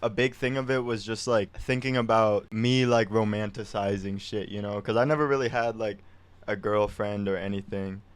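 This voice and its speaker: A man's voice: on the low side, steady tone, normal speed, smooth. A young man, a musician, chatting casually in an interview with a laid-back, slangy way of talking. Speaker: musician guest.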